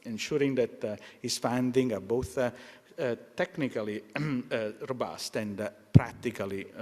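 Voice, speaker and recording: Male host, lowish voice, halting formal introduction with constant hesitations, reverberant hall. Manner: halting, many fillers and restarts, clears throat. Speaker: lecture host. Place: lecture hall. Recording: lecture hall with long reverb.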